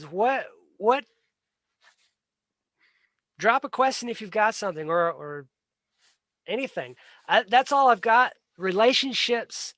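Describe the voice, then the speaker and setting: Man, livestream host, high-ish voice, dry close sound, earnest and plain-spoken, stumbles and repeats words. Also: fairly bright tone, no echo. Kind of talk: casual solo livestream talk.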